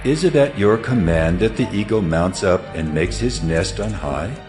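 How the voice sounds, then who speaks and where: A man plays a majestic, stern God in a scripture drama. The voice is very deep and the delivery solemn and declamatory, built on challenging rhetoric. Music plays clearly behind the words. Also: expressive, normal speed. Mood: solemn, awed.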